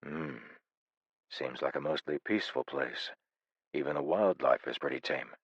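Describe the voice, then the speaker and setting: A man voicing a calm, wryly amused grown farmer in an audiobook, speaking in a calm, mildly amused way. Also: a bass voice, moderate pace, breathy edge, no echo, lo-fi sound.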